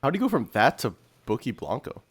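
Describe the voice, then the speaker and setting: A man's voice: medium pitch, huge pitch swings, fast, breathy edge. A man co-hosting a podcast, giving informal, slangy commentary with a fan's enthusiasm. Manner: slangy, enthusiastic fan talk. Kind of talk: casual, informal discussion.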